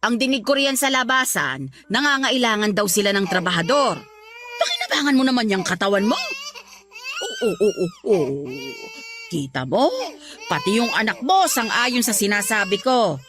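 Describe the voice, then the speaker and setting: A woman's voice: a little high, wildly swooping pitch, normal speed. A woman playing a blunt but caring Filipina grandmother and mother, scolding and exclamatory, in a Tagalog radio drama. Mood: scolding, reproachful. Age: older.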